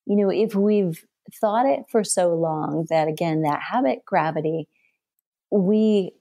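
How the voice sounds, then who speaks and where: A middle-aged woman hosting a podcast interview, slightly hoarse as she loses her voice, talking straight to her listeners and telling stories with animation. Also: on the low side, a little slow, dark tone, no room sound, clean studio sound. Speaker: podcast host. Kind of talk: conversational interview.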